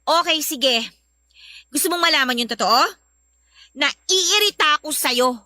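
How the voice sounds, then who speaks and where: A woman's voice: high-pitched, animated, measured pace, pushed and bright, no room sound, full character voice. A woman playing a resentful, irritable young elder sister in a Filipino radio drama, with a Filipino accent and a blunt, exasperated delivery. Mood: angry, bitter.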